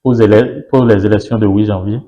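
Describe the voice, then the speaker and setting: A man's voice: lowish voice, steady tone, a little fast, warm tone, lo-fi sound. A man whose mother tongue is Yoruba, a political guest in an interview, speaking emphatically with repeated phrases and rhetorical questions.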